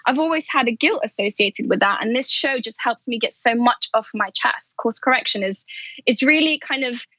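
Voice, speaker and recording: Woman podcaster being interviewed, really bright forceful voice, candid stories, slightly dull dry call audio. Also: on the high side, moderate pace, no echo. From Afghanistan, Pakistan and Saudi Arabia. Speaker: podcast host and journalist, interview guest. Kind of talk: conversational interview. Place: remote call from a house in London.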